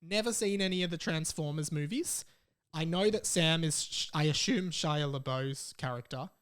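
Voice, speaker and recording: A young man with an Australian accent and a fairly high-pitched voice hosts a podcast on his own. He talks in a casual, chatty way, moving between reading messages aloud and adding his own informal comments. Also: normal speed, a bit bright. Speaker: podcast host.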